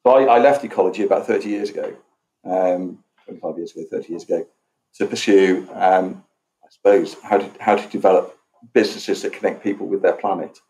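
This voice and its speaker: A man's voice: sits low, steady tone, a little fast, warm tone, rough recording. A man in his fifties on a panel conversation, talking hesitantly with many restarts. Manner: hesitant, lots of restarts and ums. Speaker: panel guest, business founder.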